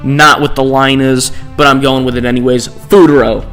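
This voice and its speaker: A man's voice: mid-range voice, level tone, normal speed, fairly bright tone, faint music behind. Rambling man hosting a casual video. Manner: rambling, digressive, often tagging statements with "right?". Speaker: host of tier-list videos. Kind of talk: casual, unscripted ranking commentary.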